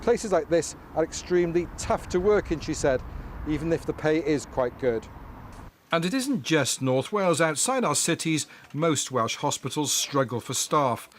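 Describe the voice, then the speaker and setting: A man's voice: on the high side, animated, moderate pace, slight background noise. A man working as a news reporter, delivering a scripted report.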